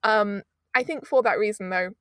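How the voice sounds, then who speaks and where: Woman chatting as a guest, lively, trips over words a bit, dry sound. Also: medium pitch, clear, resonant tone, dry recording. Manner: animated, fast-talking with frequent restarts. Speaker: audio producer, guest. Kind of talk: casual interview chat.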